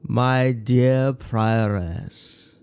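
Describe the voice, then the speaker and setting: Pierre, a slow, stern medieval friar. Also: male voice, medium pitch, fairly even intonation, darkish tone, smooth, no room sound. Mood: coldly accusing. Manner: slow, deliberate, formal. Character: Pierre, stern accusing Franciscan friar, a man. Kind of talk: dramatic audio horror story.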